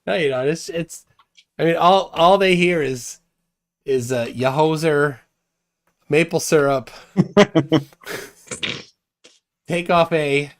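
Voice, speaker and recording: A man's voice: fairly high pitch, takes it slowly, pauses often. A man chatting casually on a live stream, asking curious follow-up questions. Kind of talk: casual chat.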